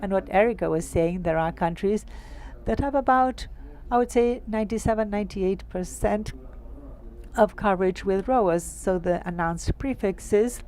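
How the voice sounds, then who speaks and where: A woman's voice: medium pitch, moderate pace, a little mellow. A woman from Colombia with a Colombian accent, answering an audience question in an explanatory way and piling up examples.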